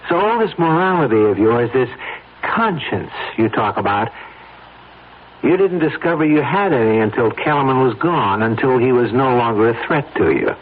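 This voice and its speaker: A man's voice: medium pitch, animated, normal speed, lightly acted. Man acting a coolly coercive persuader, tinny radio. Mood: accusing. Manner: insistent, pointed, persuasive. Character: coolly coercive man pressing a reluctant friend. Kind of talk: old-time radio drama acting. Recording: noisy, tinny old radio broadcast.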